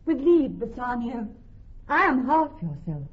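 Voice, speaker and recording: A woman's voice: on the high side, sing-song, measured pace. An actress playing a devoted, decisive young noblewoman in a classical radio drama, speaking formal verse. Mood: anxious concern. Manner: formal, eloquent verse delivery. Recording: tinny, band-limited vintage radio, music behind.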